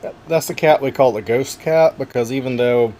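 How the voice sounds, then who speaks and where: Brief: easygoing man, relaxing mid-pitched voice, folksy wildlife-clip narrator, faint hiss behind. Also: moderate pace. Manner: gentle, folksy, joking asides. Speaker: narrator of wildlife camera footage. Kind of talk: casual narration over video.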